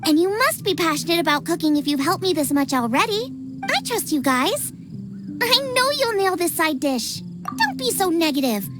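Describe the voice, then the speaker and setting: A woman's voice: very high-pitched, big pitch swings, normal speed, over loud music. A woman voicing a cheerful, passionate young girl chef in an anime-style video game, bubbly and earnest. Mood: encouraging.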